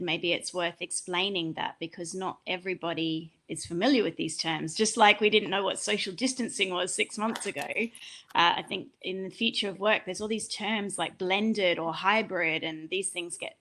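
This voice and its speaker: A woman's voice: on the low side, normal speed, a bit bright. A woman with an Australian accent, moderating an online panel in a chatty, enthusiastic way over a video call. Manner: chatty, enthusiastic, personal asides.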